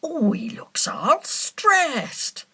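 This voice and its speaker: A woman's voice: sits high, big pitch swings, extremely slow, fairly bright tone, in a whisper, exaggerated voice. An actress playing a flirtatious, forward woman in an amateur radio play, cooing and suggestive.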